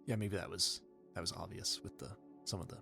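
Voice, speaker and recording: A man's voice: low-pitched, steady tone, a little fast, vocal fry, over music. A young man chatting casually to his viewers in an informal, hesitant and self-effacing way. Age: young adult. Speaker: amateur voice actor, channel creator.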